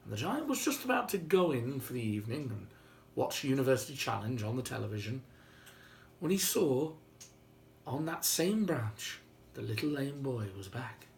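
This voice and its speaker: A man's voice: medium pitch, sing-song, normal speed, creaky, a little hiss or hum. A man retelling a fairy tale aloud in a theatrical, droll, conversational storytelling style. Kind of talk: animated oral retelling of a fairy tale with playful asides.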